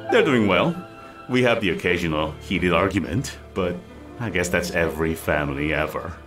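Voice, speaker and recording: A man's voice: deep voice, lively intonation, moderate pace, a bit bright, recorded from a distance, soft background music. A man playing a friendly, modest village family man in a video game, chatty and considerate. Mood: thoughtful. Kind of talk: scripted video game dialogue.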